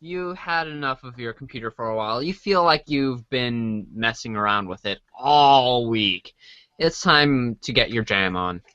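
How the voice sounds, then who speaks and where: A man's voice: mid-range voice, measured pace, bright, forceful tone, clean tone, no room sound, muffled recording. A man narrating a scripted read-along storybook in the second and third person.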